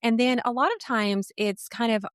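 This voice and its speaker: A woman's voice: on the high side, normal speed, smooth, no echo, clean studio sound. A middle-aged American woman, an articulate and talkative communication coach, explaining things conversationally as a podcast guest.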